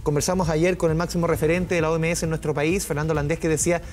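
A man's voice: fairly high pitch, little pitch movement, fast, a little mellow. A man anchoring live news, talking in a conversational way that repeats and restates points. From Chile. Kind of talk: live studio news commentary.

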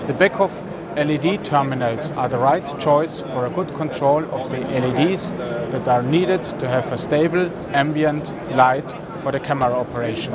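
Thirties man, slightly raised pitch, careful stiff English; thick crowd noise behind. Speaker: product expert interviewed at trade fair. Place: large indoor trade fair hall. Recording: crowded trade fair hall.

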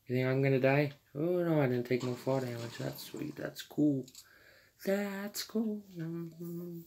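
A teenage boy casually commenting while he plays a video game, chatty and quick to laugh and apologize. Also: on the high side, darkish tone, slight background noise. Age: teens. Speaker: gamer streamer.

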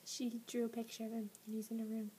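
A teenage girl teasing her friend beside a laptop webcam at night, often speaking in a hushed whisper. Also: on the high side, completely flat delivery, fast, slightly rough, rough recording. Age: teens. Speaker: friend joining the vlog. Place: small blanket fort indoors at night. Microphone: laptop webcam, seated beside.

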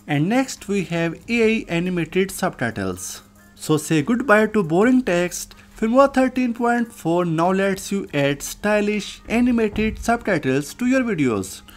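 A person narrating a scripted software tutorial as its host. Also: mid-range voice, lively intonation, a little slow, soft background music. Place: voice-over, recorded off screen.

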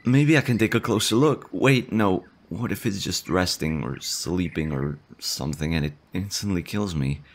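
A timid, stammering slime boy, voiced by a man in an audio roleplay, fretting and apologizing as he speaks. His voice sits very low, while birds chirp faintly behind him. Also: normal speed, hardly any pauses. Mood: anxious. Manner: stammering, anxious, fretful. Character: nervous shy male slime monster. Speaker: voice actor.

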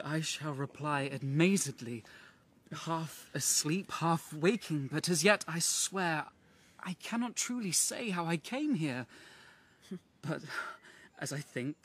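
Dazed, high-voiced young lover. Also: male voice, animated. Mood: dazed, hesitant. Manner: hesitant, halting. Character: dazed young lover, just woken, apologetic. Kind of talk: British radio drama, Shakespearean verse.

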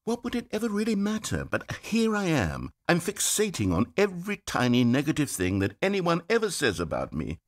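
A man voicing a fussy male game narrator, who pleads and rambles between fretful worry and hushed despair. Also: mid-range voice. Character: fussy male narrator, anxious, increasingly desperate. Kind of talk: scripted video game narration. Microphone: studio mic.

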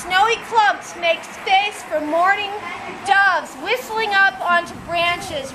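A woman reading her own poems aloud at a nighttime vigil in an outdoor gazebo, slow and deliberate, recorded by a distant camera. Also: extremely high pitch, background noise. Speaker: poetry reader at a vigil.

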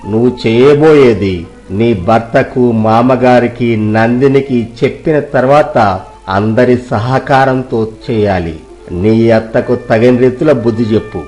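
A man voicing a wise elder village physician in a moral storybook tale, giving measured, paternal advice. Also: medium pitch, a little mellow, natural voice.

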